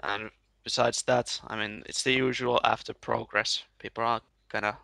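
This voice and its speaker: A man whose first language is Finnish speaks calmly and matter-of-factly. His voice is bright and gravelly with little pitch movement. The sound is dry. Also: moderate pace, no room sound. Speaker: guest, gamer.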